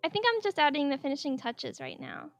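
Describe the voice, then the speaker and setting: High-pitched giggly young woman. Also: animated, a little fast, gravelly. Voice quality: speaks higher than her normal voice on mic. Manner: chatty, giggly, rambling with many "like"s. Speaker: artist narrating a speedpaint. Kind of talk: casual voice-over commentary. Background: soft background music.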